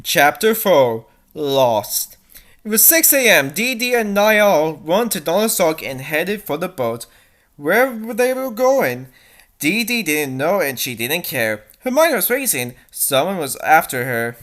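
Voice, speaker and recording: A woman's voice: low-pitched, very animated, measured pace. A woman narrating bad fan fiction with theatrical, sarcastic delivery and commenting as she goes. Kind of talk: mocking dramatic fanfic reading.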